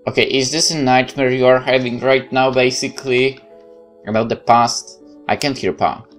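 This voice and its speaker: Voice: medium pitch, fairly even intonation, normal speed. Gamer doing a let's play, reading out story text, hesitant casual asides, music faintly behind. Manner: hesitant, thinking aloud with asides. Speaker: gaming video host. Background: game music.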